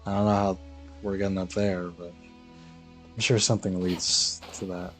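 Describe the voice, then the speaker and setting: A man's voice: deep voice, little pitch movement, normal speed, fairly bright tone, soft background music, low-quality recording. A man chatting casually as a game streamer, informal and half thinking aloud. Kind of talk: casual live-stream chat.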